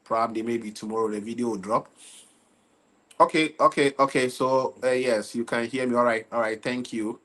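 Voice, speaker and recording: A man's voice: mid-range voice, fairly even intonation, normal speed, a bit bright, low-quality recording. A young man hosting a casual football livestream from Ghana, tired and loose, repeatedly checking in with his viewers. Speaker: livestream football talk host.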